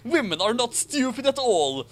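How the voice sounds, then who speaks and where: A man's voice: extremely high pitch, very animated, normal speed, voice pushed up. A man voicing a self-righteous, earnest schoolboy wizard in a declamatory, indignant storybook reading. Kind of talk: mocking dramatic reading of fan fiction.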